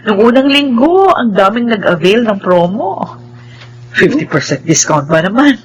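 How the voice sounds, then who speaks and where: A woman's voice: mid-range voice, very animated, a little slow, slightly rough, poor audio. An actress playing a forgiving, hardworking middle-aged mother in a Filipino radio drama, earnest and motherly. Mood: cheerful, affectionate.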